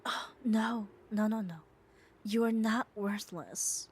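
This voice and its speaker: A woman's voice: mid-range voice, slow, slight background noise, lightly acted. A woman playing a muscular, possessive yet caring yandere in an ASMR roleplay, speaking with coaxing, tender firmness. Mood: tender, apologetic. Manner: coaxing, firm, tender reassurance. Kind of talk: ASMR roleplay audio drama.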